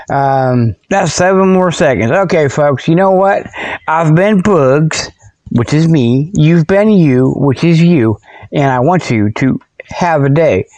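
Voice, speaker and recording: A man's voice: a little high, darkish tone, no echo. A man hosting a comedic show, rambling casually in a folksy, jokey, self-amused way. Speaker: host of a comedic paranormal report show. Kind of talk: rambling casual humorous monologue. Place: outdoors behind his garage.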